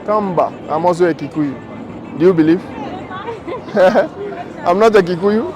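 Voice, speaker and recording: A man's voice: sits high, normal speed. A Ghanaian man hosting a casual, teasing street interview. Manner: upbeat, teasing questions. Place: busy city street outdoors. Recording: loud street crowd and music.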